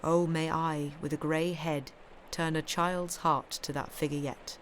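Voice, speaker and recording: A woman's voice: sits low, little pitch movement, measured pace, a little hiss or hum. A woman hosting a live stream of festive readings from home, speaking into a streaming microphone. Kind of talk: expressive literary reading aloud; casual live-stream chat.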